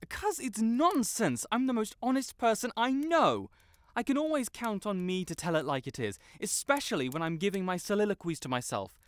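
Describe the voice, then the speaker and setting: A man's voice: sits high, no room sound. A man playing a proud, lovestruck wizard in a romantic audio roleplay, haughty but stammering, blurting things out and backtracking. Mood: haughty, flustered. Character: proud, flustered tsundere wizard secretly in love.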